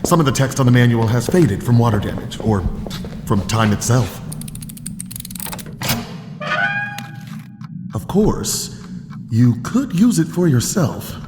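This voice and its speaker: An actor playing a careless island doctor-vet, blunt and offhand, who keeps giggling at bad news. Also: male voice, mid-range voice, sing-song, normal speed, background noise, close to an everyday voice. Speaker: physician and veterinarian. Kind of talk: comedic audio drama acting. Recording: reverberant room with music.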